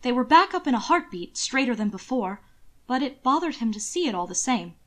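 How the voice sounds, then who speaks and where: A woman reading a scripted story aloud as an expressive audiobook narrator. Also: fairly high pitch, brisk. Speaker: audiobook narrator of fan fiction.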